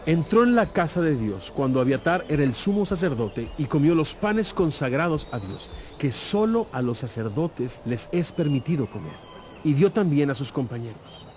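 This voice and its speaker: A man playing a calm, firm teacher with measured, emphatic delivery in a dramatized audio Bible. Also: on the high side, slight background noise. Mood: firm, explaining.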